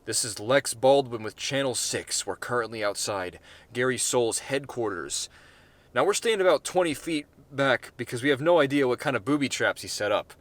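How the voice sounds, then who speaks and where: A man's voice: mid-range voice, animated, normal speed, fairly bright tone, no room sound, natural voice. A man voicing a TV news reporter speaking on location. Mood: reporting.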